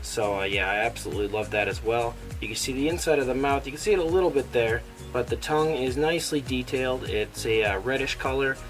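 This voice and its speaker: A man hosting a toy review, his voice a little raspy from a cold, talking enthusiastically with frequent "uh" fillers. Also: medium pitch, quick pace, few pauses, soft background music, low-quality recording. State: sick with a cold, slightly raspy. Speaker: toy reviewer, channel host. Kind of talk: casual product review.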